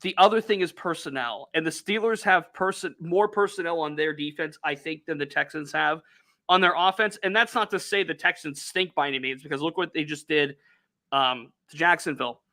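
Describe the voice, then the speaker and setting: A man's voice: on the high side, a little fast, pushed and bright. A man with a Youngstown accent, co-hosting a sports talk podcast with energy and reading out viewer comments. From Northeast Ohio. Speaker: podcast co-host.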